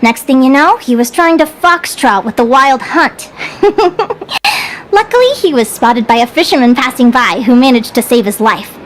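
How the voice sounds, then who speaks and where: A woman's voice: high-pitched, expressive, moderate pace, hardly any pauses, slight background noise, low-quality recording. A woman voicing a perky young adventuring advisor in a video game, chirpy and theatrical as she instructs and quizzes. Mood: cautionary, amused. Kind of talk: video game character acting.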